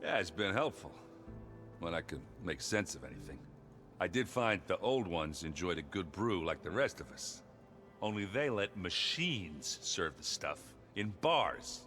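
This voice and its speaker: An actor playing a good-natured, ale-loving rogue in a video game, bluff and wry, with a hesitant, rambling way of talking. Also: male voice, low voice, sing-song, a little slow, bright, forceful tone, faint music behind, exaggerated voice. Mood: chatty, amused.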